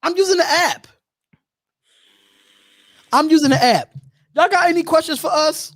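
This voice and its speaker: Very high-pitched man chatting on a livestream. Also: a little slow, a bit bright, no room sound. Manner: repeats phrases, slangy. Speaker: host of a sports call-in show. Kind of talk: casual live stream chat.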